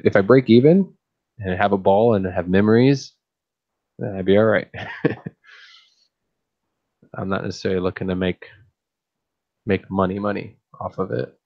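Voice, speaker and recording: A man with a deep, very low voice talks in a flat, even tone. He rambles easily, thinking aloud and restarting often as he chats late at night. The sound is a little muffled and lo-fi. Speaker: fish-keeping streamer and YouTuber. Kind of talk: casual late-night chat.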